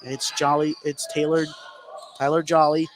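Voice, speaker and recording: An American man doing live basketball play-by-play, getting excited and stretching his words on big plays. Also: fairly high pitch, moderate pace, rough recording. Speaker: sports play-by-play announcer. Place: indoor high school gym. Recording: clear gym noise behind the voice. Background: gymnasium ambience.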